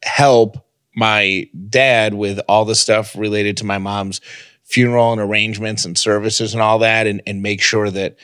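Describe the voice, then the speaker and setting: A man's voice: fairly low pitch, little pitch movement, measured pace, fairly bright tone, clean tone, no echo. A man co-hosting a podcast, chatting casually and personally. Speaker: podcast co-host. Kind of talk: casual banter and personal talk.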